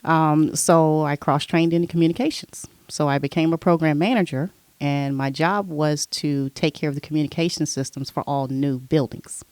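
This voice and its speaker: A woman's voice: low voice, normal speed, hardly any pauses, smooth, no room sound. Woman telling her life story, dry sound. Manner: relaxed storyteller, recounting her past at length. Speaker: podcast guest. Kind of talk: casual interview chat.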